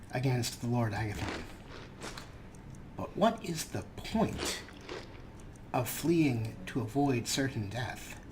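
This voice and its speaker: A man of middle pitch narrates gameplay as a dry, dramatic storyteller. He talks about his character in the third person and keeps a wry tone. Loud game ambience runs steadily underneath his voice. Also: a little hiss or hum. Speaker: let's play host.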